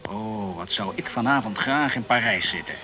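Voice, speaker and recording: A man's voice: on the low side, expressive, normal speed, noisy background, lightly acted. A man playing a polite, chatty journalist, peppering his talk with words, in a radio play. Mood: sociable, courteous. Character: polite, talkative French journalist in the tropical West Indies. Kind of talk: Dutch radio play acting.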